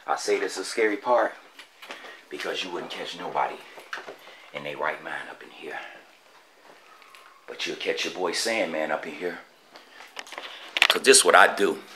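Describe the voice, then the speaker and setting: A man, filming a walking-tour vlog through an abandoned building, talking indignantly and dropping to hushed asides. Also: low voice, a little hiss or hum. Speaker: street vlogger. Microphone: handheld camera.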